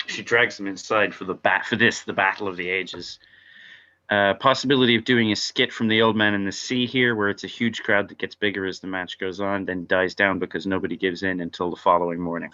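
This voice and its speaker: A man with a very low yet forceful, bright voice narrates a script's scene directions. His delivery is wry and offhand. The recording is a little dull and lo-fi. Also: steady tone, fairly quick. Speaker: reader of stage directions. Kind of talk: scripted narration at a table read.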